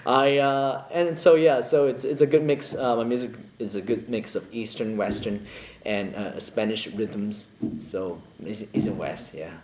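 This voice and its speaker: Young man from Singapore, low-pitched singer guest, joking and rambling, roomy echo with faint background hum. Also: unhurried, a little mellow. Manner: playful, jokey, rambling with many fillers. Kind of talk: casual interview chat.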